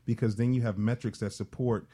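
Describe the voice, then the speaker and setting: A man's voice: on the low side, steady tone, fairly quick, dark tone, breathy edge. A man co-hosting a talk show, speaking casually in a panel discussion.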